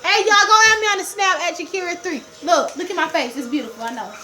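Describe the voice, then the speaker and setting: Very high-pitched playful woman in echoey room. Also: quick pace, bright, forceful tone. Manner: playful, repetitive, sing-song. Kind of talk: casual playful chat with bits of singing. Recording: roomy echo with music behind. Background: music.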